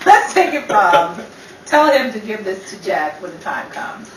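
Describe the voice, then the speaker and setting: A woman's voice: mid-range voice, very animated, a little slow. A woman performing in and directing a radio play troupe. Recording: echoey room, distant mic, hissy noise.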